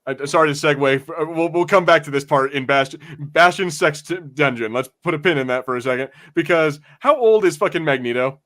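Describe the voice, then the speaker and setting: A man's voice: fairly high pitch, a little fast, hardly any pauses, a bit bright. A man co-hosting a podcast in casual chat, swearing freely and bantering with mock indignation. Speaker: podcast co-host. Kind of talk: casual chat reviewing an animated series.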